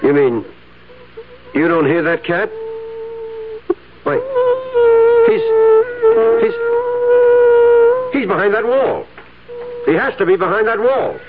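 An actor playing a courteous, persistent police lieutenant in a radio drama, businesslike and probing. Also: male voice, high voice, huge pitch swings, slow pace, long pauses, narrow, tinny audio, over loud music, exaggerated voice. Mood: puzzled, urgent. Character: polite, persistent male police lieutenant questioning a suspect. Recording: thin band-limited vintage radio, faint noise.

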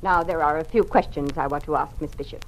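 An officious university secretary in a vintage radio play. Her voice is on the low side. She speaks in a clipped, rule-bound, bureaucratic way. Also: fast, a little hiss or hum, poor audio. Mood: brisk, suspicious. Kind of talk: old-time radio drama acting.

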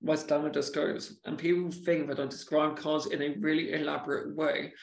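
A man with a stammer talks casually on a podcast, stalling and repeating words as he goes. His voice is slightly high and gravelly with a flat, even pitch. The room around him sounds echoey. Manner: halting, repeats words, speaks of own stammer.

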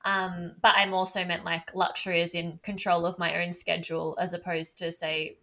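A woman who runs her own business, speaking as a podcast guest in a measured, hedging way with frequent ums. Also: fairly low pitch, flat delivery, bright, forceful tone. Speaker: podcast guest, self-employed business owner. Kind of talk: casual conversational interview.

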